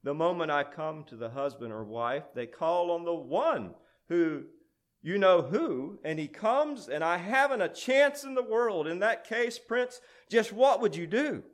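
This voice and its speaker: A man's voice: sits high, animated, unhurried, pitched above the performer's own voice. A man voicing a sly, jealous little demon imp with intense, emphatic delivery in a dramatic verse recitation. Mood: desperate, protesting.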